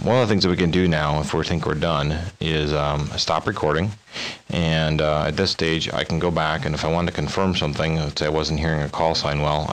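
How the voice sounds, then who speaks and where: A man presenting amateur radio software in a casual demo style, sometimes trading radio contacts. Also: very deep voice, low-quality recording. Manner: spells call signs in phonetic alphabet. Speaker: presenter demonstrating his radio logging software. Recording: hissy recording with steady noise.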